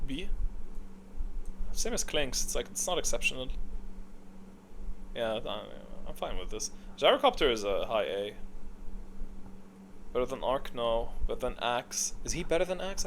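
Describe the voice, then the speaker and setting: A man's voice: medium pitch, fairly bright tone, a little hiss or hum. A man giving casual, unscripted ranking commentary, thinking aloud in an opinionated way. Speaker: video host.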